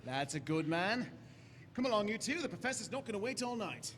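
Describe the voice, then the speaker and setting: A man's voice: sits high, big pitch swings, brisk, a bit bright, a little hiss or hum. A man playing an eccentric, playful alien Doctor in a jaunty, theatrical way in a staged sci-fi radio play. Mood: persuasive, jaunty.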